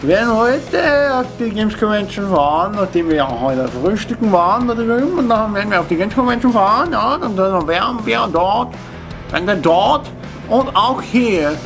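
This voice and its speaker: A young man giving a playful, halting video diary into a camcorder, repeating himself. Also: high voice, lively intonation, vocal fry. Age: young adult. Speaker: vlogger. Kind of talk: joking morning video diary. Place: indoor room. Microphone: camcorder at arm's length. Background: music.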